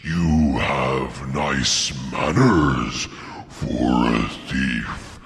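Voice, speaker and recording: A man voicing a huge, sly, menacing dragon from a storybook, deep and gravelly, with drawn-out mocking phrases and a cavernous monster effect. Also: exaggerated voice. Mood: suspicious, flattered. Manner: slow, drawn-out, sneering. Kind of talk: dramatic storybook character reading. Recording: long cavernous added reverb.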